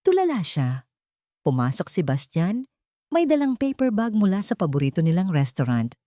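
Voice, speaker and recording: Low-voiced Filipino woman narrating romance drama. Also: big pitch swings, moderate pace, mellow, dry recording, studio quality. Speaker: story narrator.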